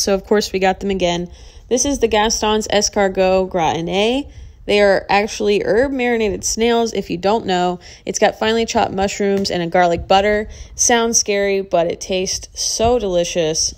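A woman's voice: mid-range voice, darkish tone. A woman vlogger narrating a casual voice-over with gushing enthusiasm. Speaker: vlog host.

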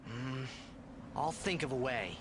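An actor playing an earnest, determined young hero in a video game cutscene. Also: male voice, mid-range voice, animated, takes it slowly, background noise.

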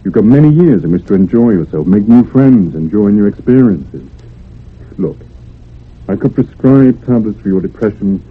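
An actor playing a calm doctor, measured, probing and authoritative, in a radio drama. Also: male voice, fairly low pitch, husky. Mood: firm, advising. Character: calm, probing male doctor advising a patient. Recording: tinny, noisy old radio recording.

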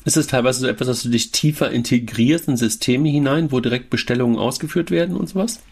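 A German man with a mid-range voice hosts a podcast. He asks his questions in a chatty, informal way, often ending on a quick tag question. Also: medium pitch, gravelly, darkish tone, breathy edge. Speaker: podcast host. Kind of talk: casual interview chat.

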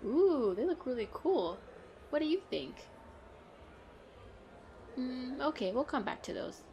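A woman playing a playful, excitable girlfriend in a chatty roleplay audio, with a bubbly, expressive delivery. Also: sits high, unhurried, dull audio, a little hiss or hum, full character voice. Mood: chatty, upbeat. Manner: bubbly, expressive, frequent giggles and exclamations. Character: playful, excitable, pleading girlfriend out costume shopping.

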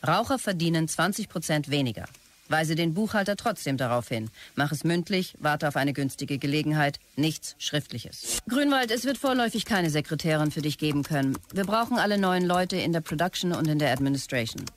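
A woman's voice: low voice, lively intonation, brisk, slight background noise. A woman playing a demanding company boss in a radio drama, giving clipped, commanding orders. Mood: curt, commanding. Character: bossy, demanding company boss issuing curt orders to staff.

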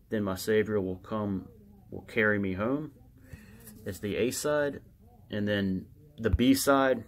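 A man giving casual, unscripted show-and-tell commentary as a collector, halting and muttering to himself as he reads aloud. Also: fairly low pitch. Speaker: record collector showing his records.

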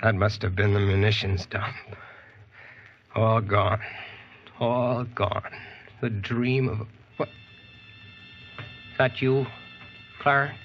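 A man's voice: fairly low pitch, fairly even intonation, slow, long pauses, slight background noise. An actor playing a plain-spoken young Yankee man telling his tale in a 1940s radio drama. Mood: dazed. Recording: muffled old radio recording.

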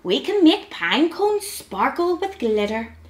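A cheery, helpful storybook mouse, put on by a woman reader. The voice is on the high side and very lively in pitch. It exclaims and reassures, stretching the words slowly for young children. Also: echoey room, exaggerated voice. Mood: cheerful.

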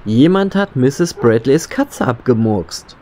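An actor playing a hapless, obedient sheriff's deputy in a German horror radio play, deferential and hesitant. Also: male voice, mid-range voice, animated, slow pace, dark tone, slight background noise. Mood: subdued, apologetic. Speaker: actor in an audio drama.

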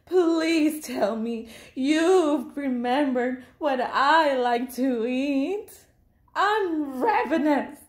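A woman's voice: high-pitched, animated, drawn-out words, pitched above the performer's own voice, full character voice. A woman voicing a hungry, picky little male bird in a storybook, complaining melodramatically. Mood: ravenous, demanding.

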